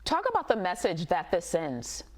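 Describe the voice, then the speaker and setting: A woman news host interviewing a guest in a measured, probing way. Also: fairly low pitch, wildly swooping pitch, a little mellow.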